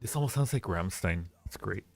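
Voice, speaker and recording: Low-voiced man, playful livestream host. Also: animated, fairly quick, vocal fry. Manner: playful, teasing, rambling with repeated phrases. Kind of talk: casual livestream chat and ranking commentary.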